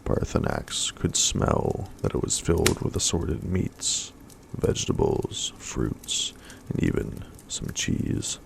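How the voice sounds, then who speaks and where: Soft-spoken male ASMR storyteller; very deep, gravelly, slow read. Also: big pitch swings, noisy background.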